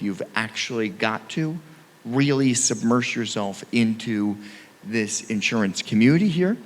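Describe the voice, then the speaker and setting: A man on a conference panel, speaking emphatically and punching key phrases. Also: mid-range voice. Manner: emphatic, punchy, speeds up and slows down for stress. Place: conference hall. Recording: reverberant hall.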